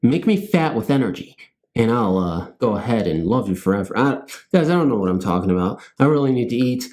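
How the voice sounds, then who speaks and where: A man's voice: mid-range voice, animated, fairly quick, a little mellow. A man hosting a channel, chatting casually to his viewers in a goofy, self-mocking, rambling way. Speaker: host of a scary story channel.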